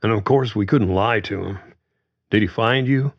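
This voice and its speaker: A man's voice: fairly low pitch, moderate pace, muffled recording, pitched above the performer's own voice. A man voicing a middle-aged father who talks in a plain, protective way.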